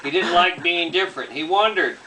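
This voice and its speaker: A man's voice: sits high, animated, a little fast, far from the mic. A middle-aged man, a father reading a picture book aloud with playful, mischievous joking. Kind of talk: storybook reading with joking ad-libs. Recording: noisy home recording with clicks.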